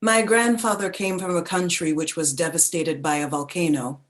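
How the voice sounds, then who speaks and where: An actress playing a reflective woman narrator who recalls her childhood in a contemplative, deliberate literary reading. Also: fairly low pitch, fairly even intonation, close to an everyday voice.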